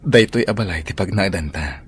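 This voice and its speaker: An actor playing an earnest, devoted young suitor in an Ilocano radio drama, with an Ilocano accent. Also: male voice, low voice, expressive, brisk, a little hiss or hum. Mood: devoted, reassuring. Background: background music.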